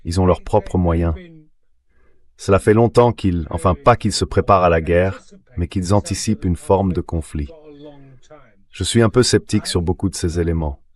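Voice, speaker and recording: Deep-voiced male analyst, measured. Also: a little mellow. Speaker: interview guest, analyst. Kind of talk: geopolitical commentary, AI-read French translation.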